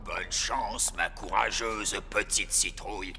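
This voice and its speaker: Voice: sits low, steady tone, slow pace, big-room sound. An actor playing a boisterous, bombastic pirate sailor with theatrical exclamations and flowery endearments in a French cartoon. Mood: tender. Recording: loud music behind the voice.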